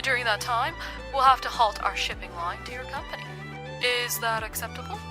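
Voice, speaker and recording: A woman's voice: on the high side, a little fast, over music, close to an everyday voice. A woman playing a cool, uncompromising young businesswoman in a manga dub, speaking formally and firmly. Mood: businesslike. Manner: formal, firm.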